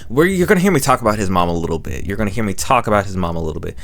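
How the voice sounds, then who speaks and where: A man's voice: on the low side, animated, rapid-fire, vocal fry, fairly bright tone. A man giving a casual, slangy review commentary, rambling, repeating his phrases and correcting himself as he goes. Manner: slangy, informal. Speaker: review channel host.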